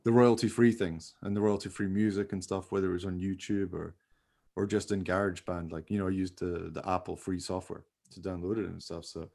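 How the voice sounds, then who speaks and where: A man's voice: low-pitched, flat delivery, a little fast, gravelly, darkish tone. A man chatting casually and enthusiastically as a podcast host about his creative work, in a rambling way. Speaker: podcast host and amateur radio play producer. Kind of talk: casual chat about making a radio play.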